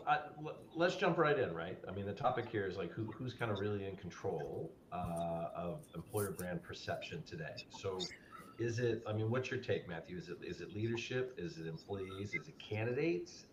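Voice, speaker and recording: A man with a fairly low voice hosts a live podcast. He talks eagerly and trips over his own restarts, with plenty of "uh" and "I mean". His audio is dull and roomy, with a steady rumble of noise underneath. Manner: energetic, quick, with many fillers and restarts. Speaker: podcast host.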